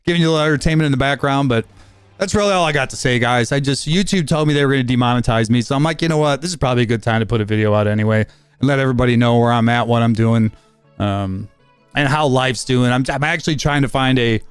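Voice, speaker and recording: A man in his fifties giving a rambling, casual update on his gaming channel, talking fast with frequent fillers and restarts. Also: mid-range voice, clear, resonant tone, dry recording, faint music behind. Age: 50s.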